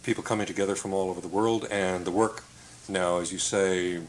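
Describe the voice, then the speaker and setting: An older man hosting a studio interview who speaks slowly and encouragingly and sums up his guests' points. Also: deep voice, fairly even intonation, a little hiss or hum, low-quality recording. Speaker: TV interviewer, host. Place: TV studio set, indoors.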